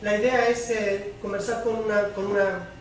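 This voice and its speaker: High-voiced male moderator in an echoey hall. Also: flat delivery, moderate pace. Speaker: host, panel moderator. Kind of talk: semi-formal spoken introduction and moderation of a public panel. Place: public debate room with audience. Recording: very echoey hall, distant mic, clear noise.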